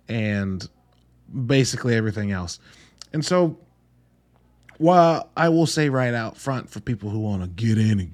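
A man hosting a casual solo review podcast, talking informally and earnestly, often interrupting himself and rambling with emphasis. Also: medium pitch. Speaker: podcast host reviewing films.

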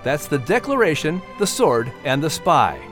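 A man's voice: fairly high pitch, big pitch swings, normal speed, fairly bright tone, soft background music. A man announcing and narrating a children's story in an upbeat, scripted style.

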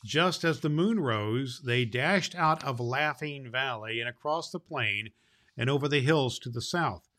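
A man hosting and reading a Christmas storybook aloud to children in an expressive way. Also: medium pitch, unhurried. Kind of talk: storybook read aloud with informal host talk.

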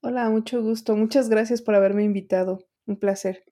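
A woman's voice: a little high, steady tone, normal speed, dark tone. A middle-aged Mexican woman, an interpreter, answering interview questions in a rambling way with many fillers and restarts. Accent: Mexican Spanish. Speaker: interpreter and translator guest.

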